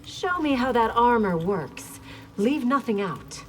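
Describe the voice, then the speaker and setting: An actress playing a proud, wary young warrior princess in a fighting game, speaking in a formal, regal way. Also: female voice, fairly high pitch, very animated, slow pace, a little hiss or hum. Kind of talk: dramatic fighting game dialogue.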